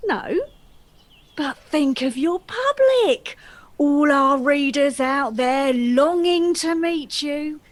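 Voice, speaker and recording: A woman's voice: sits high, lively intonation, slow, dry recording. A woman playing an eager, persistent tabloid reporter in a comic play, theatrical and coaxing. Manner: theatrical, coaxing, headline-declaiming.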